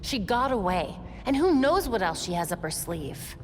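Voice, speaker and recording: A woman's voice: medium pitch, big pitch swings, moderate pace, a little mellow, lightly acted. A woman playing a determined, wry young female vigilante detective in a video game cutscene. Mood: frustrated, wry. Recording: noisy ambience, long hall reverb.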